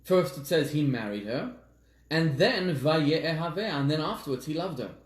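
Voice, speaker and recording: A rabbi from Zimbabwe, raised in South Africa, preaches a sermon in a slightly high voice. He tells stories with dramatic pauses and slows down to stress key words. His voice carries around an echoey room. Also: animated.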